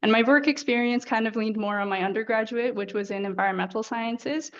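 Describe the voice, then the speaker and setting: A woman from British Columbia, Canada, briskly introducing herself as an alumna panelist. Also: on the high side, fairly bright tone, lo-fi sound. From Coquitlam, British Columbia, Canada.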